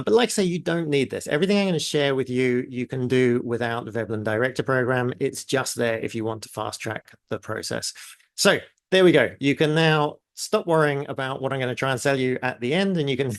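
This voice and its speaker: A man from New Zealand with a mixed New Zealand and British accent, presenting a live online masterclass in a chatty way, often pausing on fillers and speaking to the audience in the chat. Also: medium pitch, no echo, studio quality. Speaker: webinar presenter.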